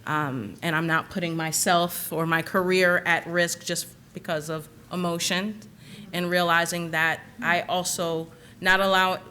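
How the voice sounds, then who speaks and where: Woman on a panel talking candid and reflective, lowish voice, faint room hum. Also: level tone, measured pace, sharp tone. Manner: reflective, candid, laughs now and then. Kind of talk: panel discussion answer.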